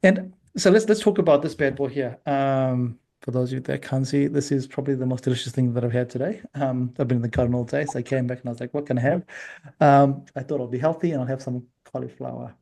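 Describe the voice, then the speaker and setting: Quick-talking, mellow-voiced male podcast host. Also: medium pitch, gravelly. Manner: informal, affirming. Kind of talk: casual conversational interviewing.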